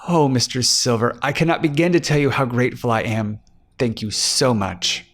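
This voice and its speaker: A man's voice: mid-range voice, normal speed, a bit bright, natural voice. A man voicing a fragile, polite young woman, a grateful client in a crime audiobook, with a demure manner.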